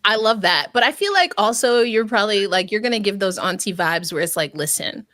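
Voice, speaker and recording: A woman's voice: mid-range voice, expressive, a little fast, no echo, clean studio sound. A woman hosting an interview podcast, chatting casually with enthusiastic affirmations. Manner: warm-up questions, enthusiastic affirmations. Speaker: podcast host.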